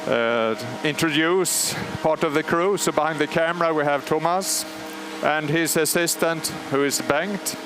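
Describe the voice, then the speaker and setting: A man with a Swedish accent calmly explaining, step by step, how an old radio transmitter is started, pausing often with fillers. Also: a little high, background noise. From Sweden. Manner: measured, explanatory, frequent pauses and fillers. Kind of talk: live technical commentary. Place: large machine hall at a radio station. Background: humming machinery and alarm bells.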